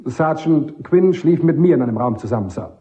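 A man's voice: fairly high pitch, expressive, normal speed, mellow, lo-fi sound, lightly acted. A man playing a dutiful army sergeant on the witness stand in a German radio play, reporting in a clipped, deferential, factual way.

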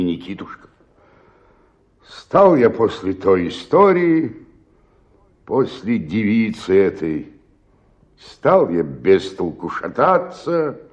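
An actor playing a despairing, self-pitying old provincial actor in a radio play, declaiming and then sinking into murmurs. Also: male voice, sits low, darkish tone, dull audio, exaggerated voice. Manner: declamatory, swinging between outburst and murmur. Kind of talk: radio play dramatic monologue.

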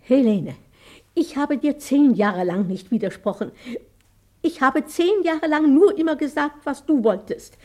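An actress playing a meek, hesitant old lady in a German radio play, speaking in a halting, timid way. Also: high voice, animated, mellow. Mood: newly defiant. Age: older. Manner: halting, timid, repeating herself. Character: meek, hesitant old lady clinging to her little house.

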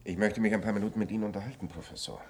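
An actor playing an authoritative institute doctor in a radio drama, precise, cool and matter-of-fact. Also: male voice, on the low side, fairly even intonation, rapid-fire, slight background noise, lightly acted. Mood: cool, clinical. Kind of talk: German radio play acting.

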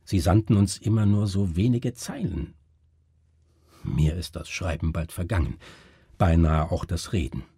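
A man voicing a weary, shaken man gravely recounting an unsolved horror in a dramatic literary reading. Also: deep voice, unhurried, dark tone, no echo, studio quality, full character voice. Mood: weary, sorrowful.

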